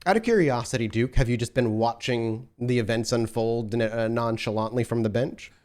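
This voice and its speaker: A man's voice: mid-range voice, moderate pace, darkish tone. A man running a tabletop role-playing game as storyteller, giving vivid sensory descriptions with frequent restarts.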